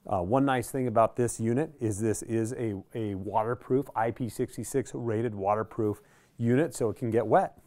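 A man's voice: medium pitch, darkish tone, smooth. A middle-aged American man presenting a product in a casual, conversational way, thinking aloud as he goes. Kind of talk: casual unboxing commentary. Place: indoor radio workshop.

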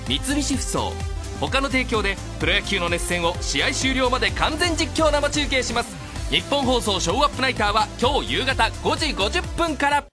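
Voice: medium pitch, expressive, normal speed, music in the background. An announcer reading a scripted sports broadcast promo.

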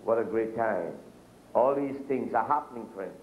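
A man's voice: fairly low pitch, moderate pace, a little hiss or hum. A middle-aged man preaching a sermon at a pulpit, gesturing and calling out to the congregation. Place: church hall with curtains, speaking at a pulpit. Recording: echoey church room, dull lo-fi video sound.

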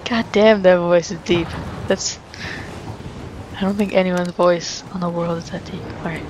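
A young woman chats her way through a shooter, rambling and laughing as she plays. Her voice sits a bit low for a woman. Game sounds run clearly in the background. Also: fairly even intonation, unhurried, noisy background. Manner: chatty, rambling, laughs often. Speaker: let's play host. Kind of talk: casual gameplay commentary. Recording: commentary over game sounds.

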